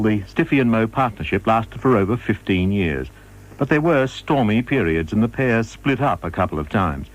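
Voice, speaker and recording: A man's voice: fairly low pitch, rough recording. A man reading scripted historical narration. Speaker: documentary narrator.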